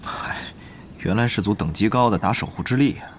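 A man's voice: lowish voice, brisk, a little hiss or hum, natural voice. A man playing a jumpy, suspicious undercover guild spy in an audio drama, musing aloud and exclaiming. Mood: startled, relieved. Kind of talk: audio drama acting with inner monologue.

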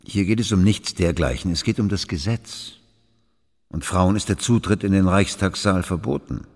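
An actor playing a stern, patronising royal councillor in a radio play, speaking in a formal, measured, admonishing way. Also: male voice, low-pitched, darkish tone. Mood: stern, cautious. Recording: echoing hall with long reverb.

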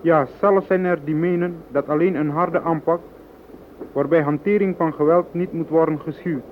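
A man playing an earnest political spokesman, delivering a formal scripted speech in long, measured sentences. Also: a little high, steady tone, close to an everyday voice. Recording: tinny radio, clear background noise.

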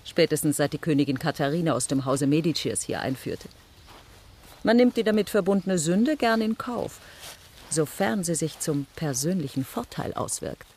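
An actress playing a witty, worldly marquise of middle age in a German radio drama, with a poised, coquettish, dryly ironic manner. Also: female voice, sits low, lively intonation, moderate pace, a little mellow, a little hiss or hum. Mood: sardonic. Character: witty, worldly French marquise, aging beauty living in convent.

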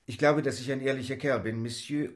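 A man's voice: medium pitch, steady tone, brisk, close to an everyday voice. A male actor plays an eager, worldly French valet in a radio play. His mid-range voice has a French accent and a polite, earnest, talkative manner. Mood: deferential, eager. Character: eager, deferential, worldly French manservant seeking a position. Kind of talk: radio drama acting.

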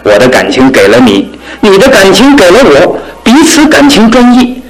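An actor playing an earnest young suitor in a radio drama, coaxing and sometimes hesitant. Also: male voice, high-pitched, huge pitch swings, normal speed, low-quality recording. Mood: pleased, wooing. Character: earnest, pleading young suitor, gently self-satisfied.

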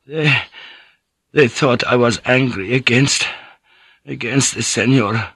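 Brief: male narrator voicing a feverish old friar, breathless ranting, bright tense tone, slow, dry close sound. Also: mid-range voice, husky, no room sound, voice pushed up, heavily acted.

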